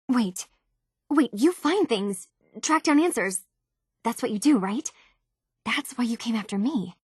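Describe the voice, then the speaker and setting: An inquisitive young synth tinkerer in a video game, with a fairly high voice that has a distinctly breathy, husky edge. She talks earnestly and hesitantly, as in real conversation, and sounds close and dry. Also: dry recording. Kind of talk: video game character dialogue.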